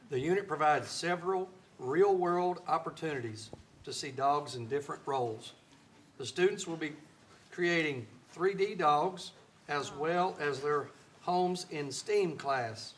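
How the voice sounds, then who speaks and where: A man introducing a student showcase at a school board meeting, relaxed, with fillers and light jokes. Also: on the high side, slight background noise. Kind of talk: meeting presentation, partly read.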